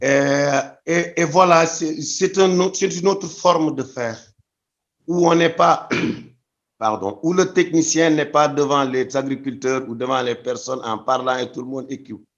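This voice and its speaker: A man's voice: mid-range voice, a little slow. Thoughtful man from Senegal, lo-fi. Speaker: guest. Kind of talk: interview answer.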